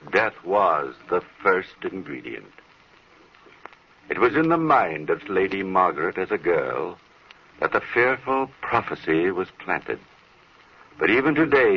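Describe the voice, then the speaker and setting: An actor playing a grave, theatrical narrator of an old-time radio horror tale. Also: male voice, deep voice, gravelly, breathy edge, exaggerated voice. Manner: theatrical, portentous. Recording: muffled old radio recording.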